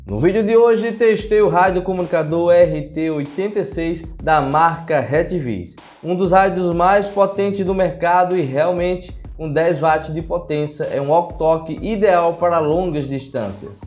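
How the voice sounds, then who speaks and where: A man's voice: a little high, a little slow, few pauses, darkish tone, faint music behind. A man with a Brazilian accent narrating a scripted product review as the reviewer.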